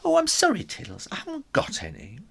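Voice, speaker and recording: A man's voice: sits high, huge pitch swings, fairly quick, vocal fry, a bit bright, husky, voice pushed up, exaggerated voice. A man voicing a plucky young male kitten superhero in a children's storybook, theatrical and cheekily defiant. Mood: cheeky, calm.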